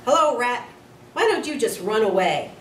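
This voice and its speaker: Woman as a spiteful schoolboy bully, raised pitch. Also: big pitch swings, moderate pace. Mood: taunting. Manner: taunting, protesting. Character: sullen, spiteful schoolboy bully, defiant and sneering. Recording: roomy, distant mic with faint noise.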